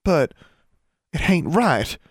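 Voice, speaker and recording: A man voicing a prim, excitable young girl with an Ozark drawl. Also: on the high side, brisk, no room sound, studio quality, pitched above the performer's own voice, close to an everyday voice. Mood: scandalized. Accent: Ozark drawl put on. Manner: excitable, old-fashioned, drawling.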